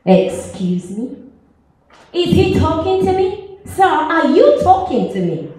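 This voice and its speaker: A woman performing a high-voiced, defiant outcast woman, echoey hall. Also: very animated, takes it slowly, full character voice. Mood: indignant. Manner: theatrical, emphatic, swinging from hushed to loud. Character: outcast Samaritan woman, sassy, wounded, defiant, yearning. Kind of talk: dramatic spoken-word monologue performed live. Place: large echoey church hall, music behind. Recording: echoey hall from far off, music.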